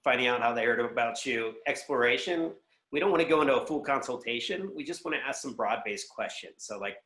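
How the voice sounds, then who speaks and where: A man's voice: mid-range voice, a little fast, a bit bright. Echoey man talking business advice. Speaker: guest, sales executive and consultant. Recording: roomy, echoing voice pickup.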